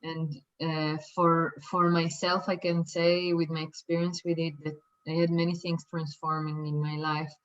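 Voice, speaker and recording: Slow-spoken woman from Israel, muffled. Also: deep voice, level tone. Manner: hesitant, many fillers and restarts. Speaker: light language teacher. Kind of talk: slow, soft-spoken workshop introduction.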